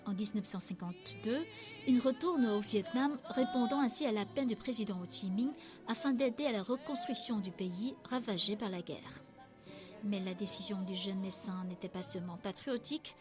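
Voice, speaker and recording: A woman giving smooth, scripted documentary narration in an even storytelling manner. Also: medium pitch, moderate pace. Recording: narration over loud background music.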